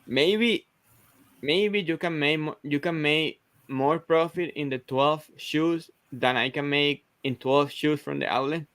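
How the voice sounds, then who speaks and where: Man, halting and dry. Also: fairly high pitch, fairly bright tone, no room sound. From Venezuela. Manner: hesitant, many restarts and "like" fillers. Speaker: host of a reselling livestream. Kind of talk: casual livestream chat.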